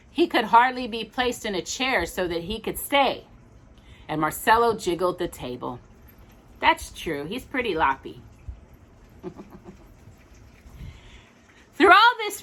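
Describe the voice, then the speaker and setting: A woman reading a storybook aloud for children, expressive and gentle, with chatty asides. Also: fairly high pitch.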